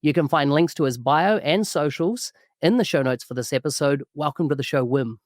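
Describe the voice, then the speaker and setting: A man's voice: on the high side, fairly quick, no room sound, clean studio sound. A man hosting a podcast, enthusiastic and full of praise. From New Zealand. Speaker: podcast host. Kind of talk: podcast interview.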